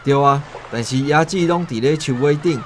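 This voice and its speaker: A man's voice: a little high, normal speed, a little hiss or hum, lightly acted. A man with a Taiwanese accent playing a burly, blustering soldier villain in a radio drama. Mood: grumbling.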